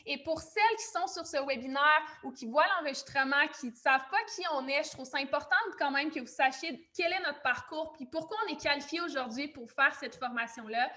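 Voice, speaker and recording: A woman with a Québécois accent and a high, bright voice hosts an online webinar. She speaks informally and effusively, welcoming her audience as a business coach would. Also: brisk, few pauses. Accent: Québécois French. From Quebec, Canada.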